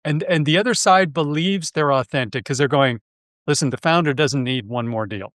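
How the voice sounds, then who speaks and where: A man's voice: on the high side, moderate pace, fairly bright tone, no echo, studio quality. Friendly, enthusiastic Canadian man hosting. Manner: friendly, enthusiastic, restarts and self-corrects. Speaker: podcast host. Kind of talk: conversational interview.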